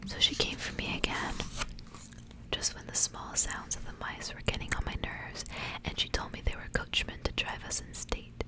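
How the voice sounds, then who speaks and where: A woman's voice: moderate pace, low-quality recording. Whispering woman reading a story, ASMR style. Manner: hushed, unvoiced whispering throughout. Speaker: ASMR reader.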